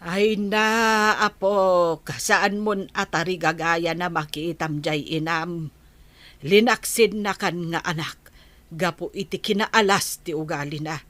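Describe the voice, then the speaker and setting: Woman acting a slow-talking old Ilocano grandmother. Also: on the low side, fairly even intonation, a bit bright, no room sound. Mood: admonishing. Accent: Ilocano Filipino. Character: old grandmother, loving but stern and bitter. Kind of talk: Ilocano radio drama acting.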